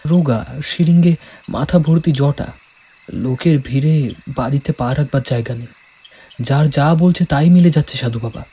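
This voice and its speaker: A man's voice: on the high side, normal speed, pauses often, warm tone, breathy edge. A man playing a naive, anxious village youth, speaking earnestly and respectfully in an audio horror drama. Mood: anxious, pleading. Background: music.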